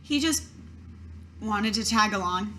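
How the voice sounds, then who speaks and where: A woman's voice: mid-range voice, animated, slow pace, sharp tone, a little hiss or hum. A woman playing a tabletop roleplaying game, talking casually as player and character.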